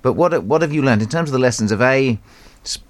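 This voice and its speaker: A man interviewing a guest with brisk, engaged questions. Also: medium pitch, expressive. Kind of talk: broadcast interview.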